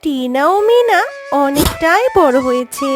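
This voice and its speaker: A woman with a very high voice narrates a children's story. The delivery is scripted storytelling, with clear music playing behind her. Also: very animated, unhurried. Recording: background music under voice.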